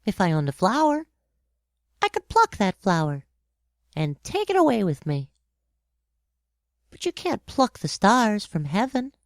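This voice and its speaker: A woman's voice: mid-range voice, huge pitch swings, a little slow, long pauses, no echo, clean studio sound. A woman playing a curious, earnest little boy prince in a storybook audio drama, innocent and persistently questioning. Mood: thoughtful.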